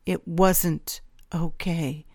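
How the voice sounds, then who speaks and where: A woman's voice: fairly low pitch, little pitch movement, drawn-out words, dark tone, dry recording, clean studio sound. A woman voicing a scornful, angry girl in a fantasy audiobook, with cold, biting retorts. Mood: seething.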